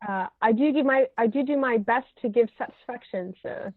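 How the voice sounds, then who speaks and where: Stage comedy: dutiful pessimist butler voiced by a woman, flatly deferential, slightly dull dry recording. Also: on the high side, moderate pace, dry recording, lightly acted. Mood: dutiful, pessimistic. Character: Lane, a dutiful, gloomy male butler. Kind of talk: stage comedy table reading.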